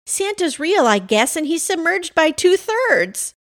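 A woman's voice: high-pitched, sing-song, a little slow, gravelly, a bit bright, dry recording, clean studio sound, voice pushed up, full character voice. A woman voicing a wary, plain-spoken, folksy small-town woman in a comic storybook tale. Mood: urgent, determined. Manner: blunt, folksy, exasperated.

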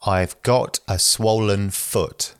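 A very low-voiced man reads short phrases at an unhurried, deliberate pace, as in a language course. The sound is close and dry, with no room around it. Each phrase comes out clean and evenly spaced. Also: smooth, no room sound. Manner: slow, careful, even delivery. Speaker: English phrase reader. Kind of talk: slow repeated phrase reading for learners.

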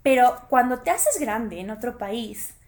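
A woman's voice: on the high side, a little slow. Chatty Ecuadorian woman telling stories. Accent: Ecuadorian Spanish. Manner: chatty, animated, addresses listeners directly. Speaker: podcast host. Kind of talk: personal storytelling monologue.

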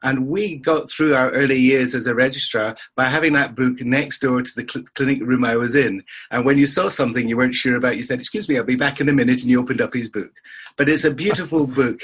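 An older man being interviewed on a podcast, his voice husky and of middling pitch. He talks in an anecdotal, conversational way and slips in dry humour. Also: fairly even intonation, fast, fairly bright tone. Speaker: surgeon and podcast guest.

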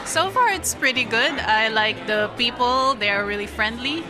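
A woman's voice: a little high, measured pace. A woman from the Philippines giving short, friendly answers in a street interview. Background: music and crowd.

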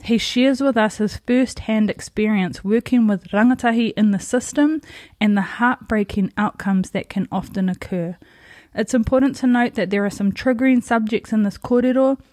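A woman with a New Zealand accent reading a scripted podcast introduction as host, measured and earnest. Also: fairly high pitch, darkish tone, no echo, clean studio sound. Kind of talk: scripted narration.

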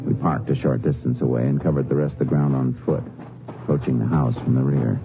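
An actor playing a steady, shrewd Texas Ranger in a 1950s radio drama, speaking and narrating in a terse, businesslike deadpan. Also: male voice, extremely low pitch, a little fast. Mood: matter-of-fact narration. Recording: muffled old radio recording with music.

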